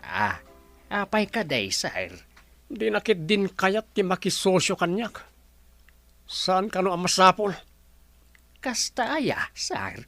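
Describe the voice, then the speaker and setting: A man doing a jittery Ilocano servant who stammers and apologizes to his angry boss. His pitch jumps about unevenly, and he sounds anxious all the time. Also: big pitch swings, slow, long pauses, bright, forceful tone, faint music behind, exaggerated voice. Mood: nervous. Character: timid male servant, nervous and stammering.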